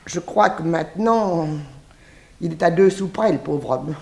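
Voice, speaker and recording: A woman's voice: fairly low pitch, animated, unhurried, gravelly, a little mellow. An actress playing a nosy, gossipy female housekeeper in a 1960s French radio drama, confiding and pitying.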